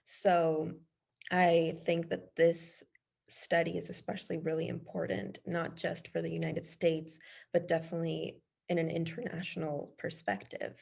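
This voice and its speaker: Husky, gravelly-voiced woman presenting a webinar. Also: lowish voice, flat delivery, unhurried, darkish tone. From El Salvador. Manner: earnest, many "um" fillers.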